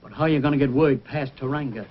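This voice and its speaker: A man acting a gruff, practical frontier trader in an old-time radio adventure, with plain, terse delivery. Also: mid-range voice, quick pace, muffled recording, lightly acted. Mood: concerned. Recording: old band-limited radio recording, music.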